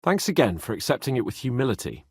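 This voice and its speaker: Haughty young British nobleman, wildly animated. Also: medium pitch, fast talker, husky, no room sound, studio quality. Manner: pompous, sardonic, exasperated. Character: haughty young nobleman, cocky, whiny, sarcastic medieval lord. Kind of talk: video game voice acting.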